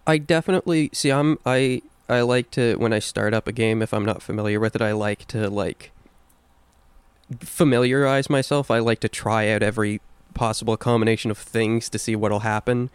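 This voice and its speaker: A man with a low, smooth voice talks games on a podcast, earnest and deliberate with a dry wit. The sound is close and dry, with no room around it. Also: steady tone, dry recording. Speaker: podcast co-host. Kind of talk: casual chat.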